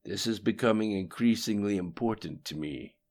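A man voicing a menacing ancient being in an audiobook, gravelly, grandiose and contemptuous. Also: fairly low pitch, moderate pace, darkish tone, voice pushed up, close to an everyday voice. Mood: cold, impatient. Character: menacing ancient male being speaking through a screen. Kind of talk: audiobook character dialogue.

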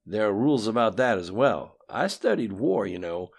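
A man voicing a brash, boastful older male schemer who chuckles and talks in quick, loud bursts with a raspy edge. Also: lowish voice, very animated, pitched above the performer's own voice, full character voice.

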